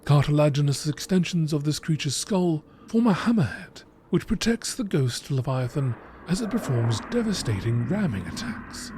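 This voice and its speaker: A man reading game lore entries as scripted nature documentary narration, in a measured, formal, deliberate manner. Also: a little high, very animated, a little mellow, a little hiss or hum.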